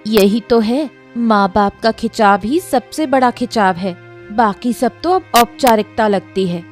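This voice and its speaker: Lady doing a grumbly gossipy married woman in a moral story, kinda high voice, plaintive, faint music. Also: unhurried, lightly acted. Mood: disenchanted, complaining. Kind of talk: acted Hindi audio story dialogue.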